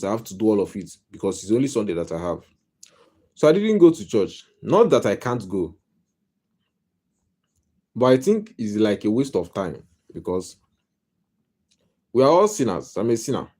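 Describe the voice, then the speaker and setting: A man's voice: lowish voice, mellow. A man with a Nigerian accent giving a casual live-stream monologue as a life coach, rambling and repeating himself with wry humor. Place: home.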